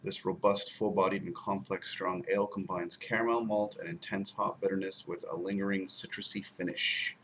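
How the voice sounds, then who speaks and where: Low-voiced young man, rambling beer review. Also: little pitch movement, normal speed, few pauses, a bit bright, slight background noise, low-quality recording. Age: young adult. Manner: rambling, repeats words for emphasis. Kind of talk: casual video review. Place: home room by window blinds, indoors.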